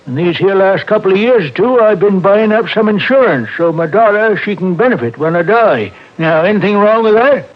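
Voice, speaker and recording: A man's voice: high-pitched, expressive, normal speed, hardly any pauses. A man playing a cagey, folksy old retired flagman with rustic, ungrammatical speech in a 1950s radio drama. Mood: defensive. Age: older. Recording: dull vintage radio recording.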